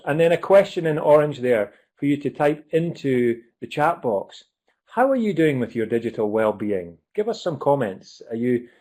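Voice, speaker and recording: A Scottish man hosts a live online webinar, talking through a computer mic on a video call. His voice sits in an ordinary middle pitch. He is chatty and upbeat, often filling pauses with ums and uhs. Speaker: webinar host.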